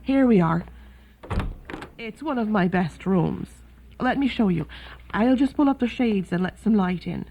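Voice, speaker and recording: A woman's voice: medium pitch, expressive, a little slow, gravelly, pauses often. An actress playing a kindly, chatty, fussing boarding house landlady in a period radio drama. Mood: welcoming. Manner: fussing, hospitable, gossipy. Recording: thin phone-like band, faint music behind.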